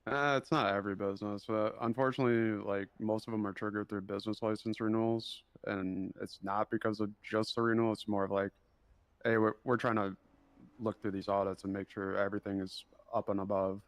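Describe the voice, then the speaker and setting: A patient, apologetic official in an online role-play game as acted out by a man. He has a Midwestern American accent and a low, smooth, clear voice. He feels his way through sentences, trying to keep everyone calm. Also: brisk, no echo, natural voice. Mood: patient, weary. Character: patient, apologetic male government official doing audits. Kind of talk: improvised in-character role-play conversation.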